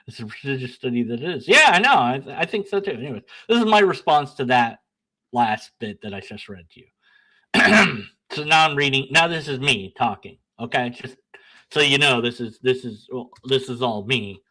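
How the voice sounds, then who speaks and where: Animated, bright-voiced male streamer. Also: mid-range voice, moderate pace, poor audio. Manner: animated, sarcastic, laughs at himself, bickers with himself; blunt, argumentative when reading his replies. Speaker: channel host. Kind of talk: casual solo commentary, reading emails aloud.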